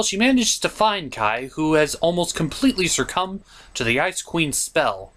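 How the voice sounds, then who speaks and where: A man's voice: a little high, fairly bright tone. A man hosting a comedic cartoon review, recapping the plot in a sarcastic, mock-dramatic and profane manner. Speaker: cartoon reviewer, show host.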